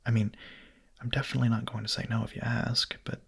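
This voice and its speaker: A man playing a young vampire in an intimate ASMR roleplay, speaking softly and haltingly in a breathy, husky whisper, hungry yet hesitant and tender. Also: mid-range voice, flat delivery, exaggerated voice. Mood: surprised, shy.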